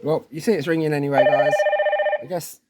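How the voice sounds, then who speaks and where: Guy doing a casual how-to video, voice a bit on the high side, chatty informal instructions. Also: fairly even intonation, brisk, heavy background noise. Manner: informal, often checks in with "okay" and "guys". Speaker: presenter of installation tutorial videos. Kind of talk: casual hands-on tech tutorial.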